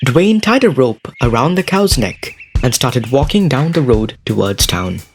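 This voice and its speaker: A man's voice: medium pitch, big pitch swings, a little slow. A man narrating a scripted children's story as a storyteller. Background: music.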